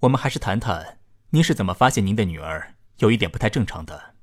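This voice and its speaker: An actor playing a calm, formal doctor in a science-fiction radio drama, speaking in a measured, polite, clinical way. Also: male voice, lowish voice. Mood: clinical, patient. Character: calm, formal male doctor delivering a diagnosis.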